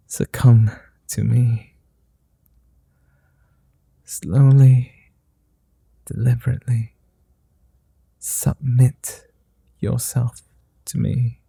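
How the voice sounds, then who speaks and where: A man voicing a gentle, flirtatious male vampire in an ASMR roleplay, speaking softly and formally and slipping into whispers. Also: medium pitch, vocal fry, breathy edge, no room sound, full character voice. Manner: soft-spoken, slow, formal, drifting into whispers.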